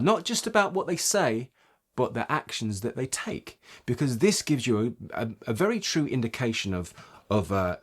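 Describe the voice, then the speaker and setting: A man giving an instructional talk as a voice coach, explaining points emphatically and checking in with short rhetorical questions. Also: mid-range voice. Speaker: voice acting coach.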